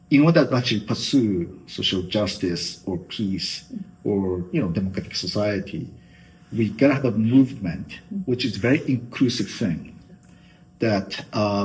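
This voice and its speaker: A man's voice: fairly low pitch, lively intonation, moderate pace, a little mellow, slight background noise, low-quality recording. A middle-aged man from Japan with a Japanese accent, a guest giving thoughtful interview answers with many fillers like "you know".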